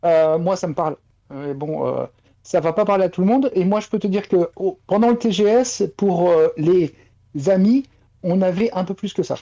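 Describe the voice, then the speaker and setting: Muffled, mellow woman interjecting. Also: on the low side, moderate pace. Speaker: panel columnist. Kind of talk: casual chat, interjecting with remarks.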